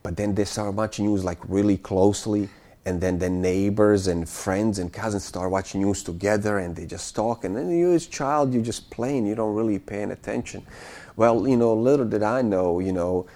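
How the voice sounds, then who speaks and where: A man from Bosnia, around forty, recalling his childhood as an interview guest, speaking haltingly with many fillers and restarts. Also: fairly low pitch, mellow. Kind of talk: casual spoken recollection.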